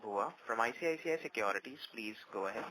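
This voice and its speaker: A man's voice: lowish voice, animated, racing, bright, forceful tone. A man reading scripted announcements as a conference call operator. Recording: noisy conference phone line.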